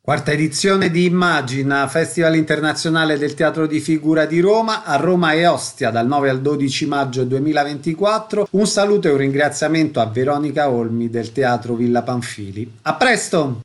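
Higher-voiced male radio interviewer. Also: moderate pace, few pauses.

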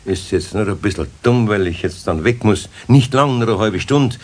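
A man playing a patient, gruffly kind older carpenter with a husky voice and Bavarian accent, admonishing in a homely way in a radio play. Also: lowish voice, normal speed, natural voice. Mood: friendly, firm. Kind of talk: 1970s German children's radio play acting.

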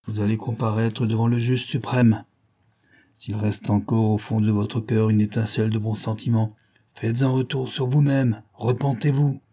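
A man's voice: medium pitch, normal speed, mellow, slightly rough, voice pushed up, close to an everyday voice. A man reading a story, voicing a stern, triumphant male detective with a raised, commanding voice. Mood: solemn.

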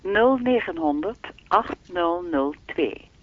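Speaking clock lady on a tinny phone, slow n formal, lonely, deadpan, radio drama. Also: lowish voice, no room sound. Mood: formal, automatic. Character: lonely, evasive female speaking-clock voice with a will of its own. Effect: telephone filter. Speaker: telephone time announcer. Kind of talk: radio play acting, formulaic announcement turning to dialogue. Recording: narrow, tinny phone-line sound.

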